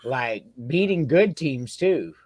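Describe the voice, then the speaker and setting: A man hosts a sports podcast, rambling along with fillers and restarts in an easygoing way. His pitch moves a lot as he talks. The sound is dry and close. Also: lively intonation, takes it slowly, a little mellow, no room sound. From American South. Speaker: podcast host. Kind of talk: casual sports podcast chat.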